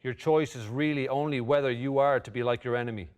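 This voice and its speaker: A man playing a blunt, confident envoy in a fantasy table read, speaking in measured, formal speeches. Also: medium pitch, steady tone, clear, resonant tone, natural voice. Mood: stern. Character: confident, flirtatious male envoy, blunt and unflinching.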